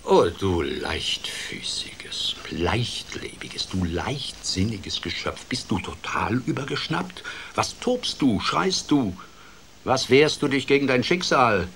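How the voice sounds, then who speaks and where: A man voicing a gloomy female toad who scolds theatrically and gravely in a children's radio play. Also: medium pitch, sing-song, slow, a bit bright, a little hiss or hum, pitched above the performer's own voice, full character voice. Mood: solemn reproach. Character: gloomy, scolding female toad given to doom-laden speeches.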